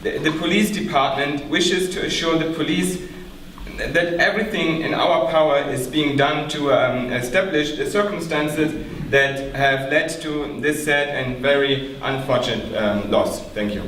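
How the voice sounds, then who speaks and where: A man in a staged play reading, playing a stiff, evasive police spokesman with a halting, formal delivery. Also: a little high, a bit bright, a little hiss or hum. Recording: echoey hall, distant mic.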